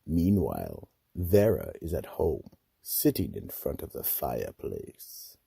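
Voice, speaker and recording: A man narrating a radio mystery in an ominous, dramatic and deliberate way, with a raspy, gravelly voice. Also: rumbling low, huge pitch swings, mellow. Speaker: narrator and announcer.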